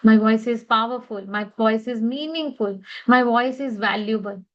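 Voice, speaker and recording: A woman leading a guided tapping session, speaking affirmations in a slow, measured way. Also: fairly high pitch, muffled recording.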